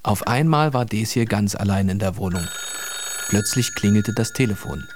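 A man's voice: on the low side, lively intonation, moderate pace, noisy background, close to an everyday voice. A man narrating a children's radio play as a plain storyteller, reading a scripted tale.

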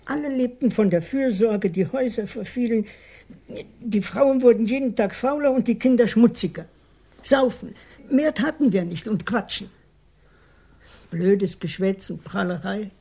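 An actor voicing a small, evasive police informant in a radio crime drama, hesitant and defensive, repeating words, with a husky voice. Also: mid-range voice, measured pace, mellow. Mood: confiding, admiring. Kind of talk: 1980s German radio play acting.

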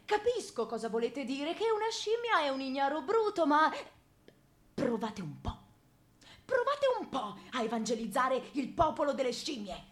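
A woman's voice: high-pitched, big pitch swings, moderate pace. A woman playing a stern, dogmatic senior priest in a theatrical radio drama, pompous and sermonizing. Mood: argumentative. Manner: pompous, sermonizing, rhetorical questions.

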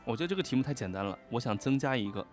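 A man co-hosting a fan quiz livestream, chatting casually and echoing short words in quick bursts as he reacts to answers. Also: mid-range voice, lively intonation, soft background music. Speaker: co-host of a fan quiz livestream for an audio drama.

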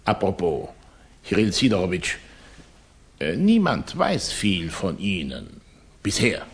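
An actor playing a cunning Russian émigré revolutionary in a German radio play, flattering and condescending, with florid, oily politeness. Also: male voice, low-pitched, slow pace, breathy edge, full character voice. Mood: suave, probing.

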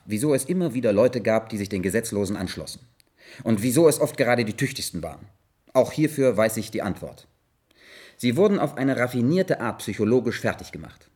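A man's voice: mid-range voice, darkish tone, husky. An actor playing an earnest, methodical male investigator in a German radio play, speaking formally, precisely and insistently.

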